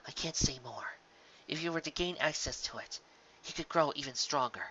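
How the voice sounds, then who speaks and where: A grave elder princess, read by a man, speaking in hushed, measured warnings. The storybook voice is solemn and protective, with a slightly muffled sound. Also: fairly high pitch, slight background noise. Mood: grave, foreboding.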